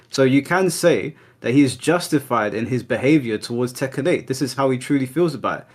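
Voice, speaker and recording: A man in a heated online debate, firmly holding the floor to make his case. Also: mid-range voice. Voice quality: assertive, firm.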